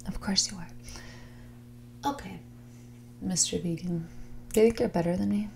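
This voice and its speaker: A woman playing a spoiled, haughty young heiress in a whispered, soft-spoken ASMR roleplay, with a condescending, drawling manner. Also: mid-range voice, creaky, a little hiss or hum. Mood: condescending, mocking. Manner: snobbish, condescending, drawling, filler-laden valley-girl phrasing.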